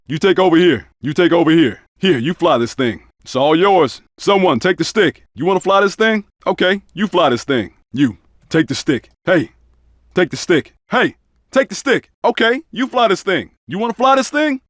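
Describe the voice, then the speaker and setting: An actor playing a tough undercover cop in a combat shooter game, giving terse, urgent, commanding callouts. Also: male voice, high-pitched, fairly bright tone, no room sound, clean studio sound, full character voice. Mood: brisk, commanding. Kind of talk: video game combat barks.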